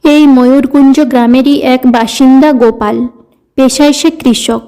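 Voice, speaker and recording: A woman's voice: high-pitched, fairly even intonation, unhurried, mellow, clear, resonant tone. A woman reading scripted narration for a cartoon story as its storyteller.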